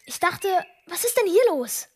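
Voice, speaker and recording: Shrill-pitched, indignant scolder. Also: female voice, big pitch swings, moderate pace, soft background music. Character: indignant, excitable, scolding female character. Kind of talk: German radio drama acting.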